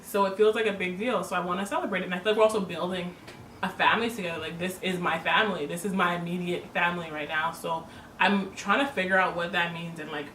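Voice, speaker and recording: A woman's voice: on the low side, bright, forceful tone. A woman raised in Toronto talks earnestly to the camera, laying out her thoughts and calling the audience "y'all." Her voice is caught from a distance in a roomy space, with a faint hiss behind it. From born in Jamaica, raised in Toronto, Canada. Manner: earnest and explanatory, addresses viewers as "y'all". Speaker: vlogger. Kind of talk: casual couple's chat to camera.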